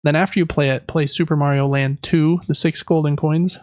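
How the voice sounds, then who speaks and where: A man's voice: on the high side, steady tone, a little fast, a little mellow, dry recording, muffled recording. A man hosting a casual gaming podcast, reading through news lists in a chatty, informal way with personal asides. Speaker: podcast host.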